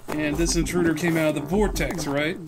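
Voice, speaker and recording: A man's voice: fairly high pitch, fairly even intonation, fairly quick, a loud crowd, lightly acted, poor audio. A man playing a cocky teenage superhero in a comic book dub, talking brash and tough. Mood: weary, confident. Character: giant armored male superhero, cocky teenage boy inside. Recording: loud music behind the voice.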